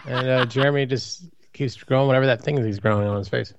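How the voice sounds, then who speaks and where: A man's voice: mid-range voice, quick pace, no room sound, muffled recording. A man from Maryland with a light Baltimore accent, co-hosting a casual podcast and setting up edgy jokes with a straight face. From Maryland, USA. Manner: deadpan, provocative jokes. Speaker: podcast co-host. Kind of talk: casual chat.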